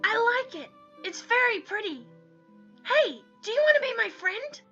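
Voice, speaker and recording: A woman's voice: very high voice, very animated, measured pace, sharp tone, pitched above the performer's own voice, full character voice. A woman voicing an eager, assertive young boy, a royal lion-like character in a story, on a lo-fi recording with music. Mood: playful. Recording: dull lo-fi recording under music.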